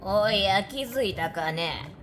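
A woman's voice: medium pitch, lively intonation, slow pace, a bit bright, soft background music. An actress playing a sinister old swamp witch who gloats, in a radio drama. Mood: gloating.

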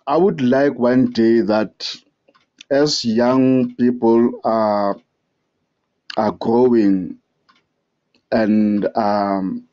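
A South African man with a South African accent, leaving an earnest voicemail with many hesitant pauses. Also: mid-range voice, warm tone. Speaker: voicemail caller. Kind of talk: phone voicemail. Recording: muffled voicemail phone line.

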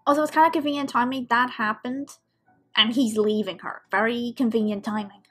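A woman's voice: a little high, fairly even intonation, brisk, fairly bright tone, slightly rough. A woman giving casual, off-the-cuff commentary as host of a reaction video.

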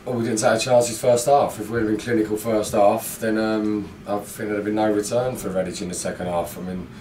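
A man's voice: lowish voice, steady tone, a little fast, darkish tone, recorded from a distance, slight background noise. A middle-aged man, a football manager, giving a blunt, frustrated post-match interview full of fillers in a small indoor room. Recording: echoey room, mic set back.

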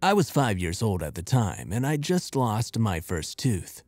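A man reading a scripted horror story in a measured, deliberate and expressive way. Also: fairly low pitch, no echo, clean studio sound. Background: music.

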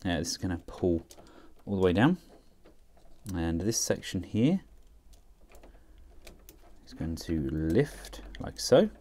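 Low-voiced guy chatting thru a toy transformation, lots of uhs, laid back. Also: slow pace, pauses often. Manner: relaxed, chatty, thinking aloud with many fillers. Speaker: toy reviewer. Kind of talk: hands-on toy review, demonstrating a transformation.

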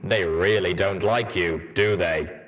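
A man as a slow, deadpan stallion soldier. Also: deep voice, heavily acted. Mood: dry. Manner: dry, deadpan. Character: dry, deadpan armored male pony soldier.

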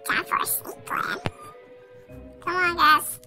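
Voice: very high-pitched, lively intonation, slow pace, bright, forceful tone, exaggerated voice. A performer with a childlike voice playing mischievous, greedy little cartoon cockroaches in a fandub, cheeky and playful. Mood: greedy, smug. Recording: music behind the voice.

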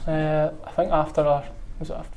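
A young Scottish man speaks quietly and modestly after a match, pausing often with fillers. His voice sits a little high but stays flat and mellow. A faint background hum runs under the indoor recording. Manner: soft-spoken, modest, hesitant with fillers. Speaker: footballer. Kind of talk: post-match interview. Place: indoors in front of a club sponsor board.

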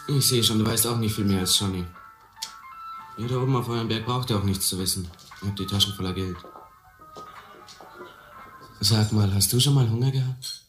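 A streetwise, cocky harbour youth played by a man speaks in a low voice, blunt and colloquial. He sounds hungry to get ahead. It is radio drama in a roomy space with music behind. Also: moderate pace, pauses often, natural voice. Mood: probing, blunt. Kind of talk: German radio play acting.

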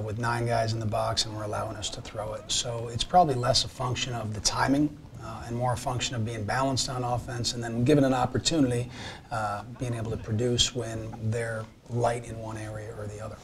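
Gravelly-voiced male football coach. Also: mid-range voice, a little hiss or hum. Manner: measured, thoughtful, with frequent uh fillers. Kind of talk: studio interview answers. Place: small TV studio. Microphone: lapel mic.

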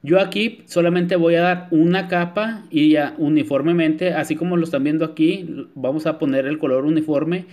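A man's voice: a little high, fairly even intonation, normal speed, few pauses, darkish tone. A man narrating a nail tutorial in a chatty voice-over, with frequent filler words. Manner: chatty, many filler "este", addresses viewers as "chicas". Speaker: nail technician.

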